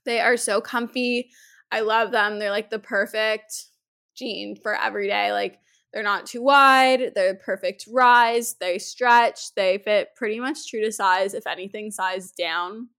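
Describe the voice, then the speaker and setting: Woman, podcast host, hoarse, chatty, bright tone, casual. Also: mid-range voice, fairly even intonation, unhurried. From Canada. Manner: chatty, uses "like" often. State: hoarse from a head cold. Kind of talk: casual chat.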